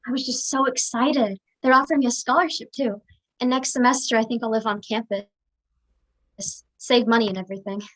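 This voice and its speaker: Actress as a high-voiced, wounded college-bound daughter. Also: female voice, a little fast, a bit bright, low-quality recording. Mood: excited. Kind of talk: staged play reading.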